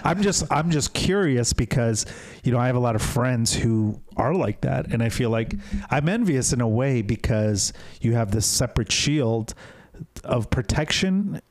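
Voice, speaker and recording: A man's voice: medium pitch, animated, normal speed, a little mellow, no room sound. Podcast host, male, 30s, playful and teasing, dry close-miked studio sound. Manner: teasing, laughs easily. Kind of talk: casual chat. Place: small studio room, indoors. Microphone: studio mic with headphones.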